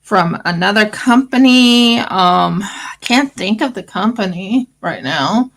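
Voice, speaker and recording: Australian woman, craft-stream host, gravelly scratchy voice, loose rambling chat. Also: medium pitch, animated, slow, fairly bright tone. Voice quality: scratchy morning voice. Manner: rambling, fillers, restarts. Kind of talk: casual livestream chat.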